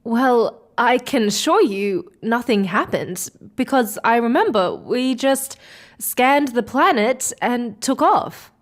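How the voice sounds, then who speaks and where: A woman playing an inquisitive, excitable space explorer in an audio drama, with a questioning, hesitant way of talking. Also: on the high side, slow pace. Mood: puzzled.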